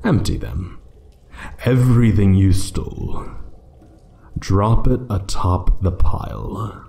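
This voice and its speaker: A man voicing an ancient, playful yet menacing dragon in a fantasy ASMR roleplay, speaking theatrically and condescendingly, sometimes hushed. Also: lowish voice, slow pace, creaky, slight background noise. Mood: cold, commanding. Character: ancient male dragon, playful, menacing hoard guardian toying with intruder.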